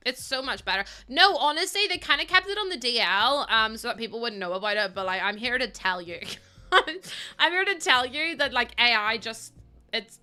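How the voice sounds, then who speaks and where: Bright-voiced woman chatting to chat. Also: a little high. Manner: chatty, laughs often, greets chat warmly. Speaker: livestreamer. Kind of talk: casual chat with stream viewers.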